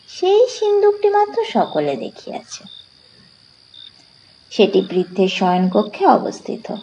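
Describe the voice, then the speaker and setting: A woman storyteller reads literary fiction in a soft, mellow voice. Her pitch sits fairly high and moves widely, giving the deliberate narration a dramatic shape. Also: gravelly, dull audio, slight background noise.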